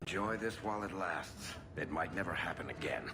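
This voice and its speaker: A man's voice: deep voice, fairly quick, music in the background, natural voice. A man playing a wry, roguish, loyal storyteller friend in a video game, talking in a conversational way. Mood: wry, sincere.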